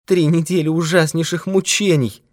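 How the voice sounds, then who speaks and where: A man's voice: high voice, lively intonation, a little fast, dry recording, studio quality, voice pushed up. A man voicing a terrified old miser who wails and pleads in a strained, raised voice. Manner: wailing, pleading.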